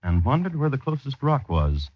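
An actor playing a droll, scholarly crime storyteller, narrating formally and deadpan in 1950s radio style. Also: male voice, mid-range voice, normal speed, slightly rough, no echo, highs cut off. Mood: wry storytelling. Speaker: host and narrator.

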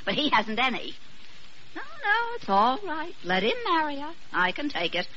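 An actress playing a proud, jealous hospital staffer with huffy, clipped sarcasm, in vintage radio drama style. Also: female voice, sits high, very animated, normal speed, bright, forceful tone, exaggerated voice. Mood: hurt, huffy. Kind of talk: 1940s-50s radio drama acting. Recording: dull old radio recording.

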